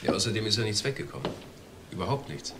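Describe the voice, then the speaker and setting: Assistant detective male actor, crime drama, low register, clipped factual remarks, noisy recording. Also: expressive, fast, lightly acted. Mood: matter-of-fact. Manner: terse, understated.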